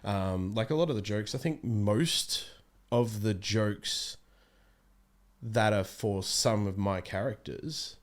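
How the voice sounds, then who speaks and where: A man's voice: lowish voice, takes it slowly. A man in his thirties chatting casually as an interview guest, rambling and interrupting himself. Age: 30s. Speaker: voice actor being interviewed. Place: small room studio, indoors. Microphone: close podcast microphone.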